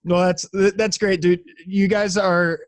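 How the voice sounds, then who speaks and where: A man's voice: high-pitched, level tone, a little slow, no room sound. An American man hosting a podcast in a casual, slangy way. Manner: slangy, profane. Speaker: podcast host. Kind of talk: casual chat.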